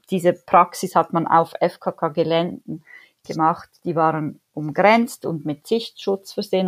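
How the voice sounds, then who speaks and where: A woman with a Swiss accent, speaking as an expert interview guest and explaining things conversationally. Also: on the low side. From Switzerland.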